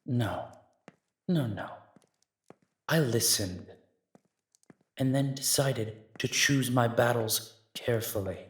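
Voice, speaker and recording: A man playing a cautious warrior duke in a fantasy audio drama, respectful, measured and deferential. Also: medium pitch, a bit bright, husky, no echo. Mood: defensive, subdued.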